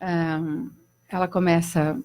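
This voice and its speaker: A woman's voice: fairly low pitch, level tone, darkish tone, no room sound. A woman, a singer chatting effusively as a guest on a live radio talk show. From Brazil. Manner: effusive, chatty, quick to laugh. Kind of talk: casual live radio chat.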